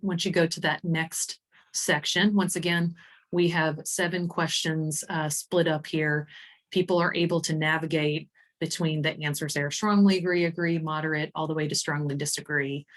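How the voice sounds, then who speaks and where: Low-pitched woman presenting a software demo. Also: steady tone, moderate pace. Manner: explanatory, informal, with tag questions. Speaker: presenter demonstrating survey software. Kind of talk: conversational webinar product demo. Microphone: computer mic on a screen-share video call.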